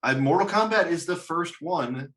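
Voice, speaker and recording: A man's voice: on the high side, lively intonation, normal speed, a bit bright. A man hosting a casual film discussion, swearing freely, blunt and joking as he gives his opinions. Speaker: host of a film discussion show. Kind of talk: casual chat, movie commentary.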